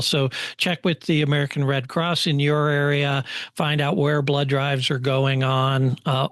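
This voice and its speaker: A man of average pitch chats casually as a podcast co-host. He speaks a little haltingly, repeating words as he finds his way, and is recorded dry with little room sound. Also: a bit bright, no echo.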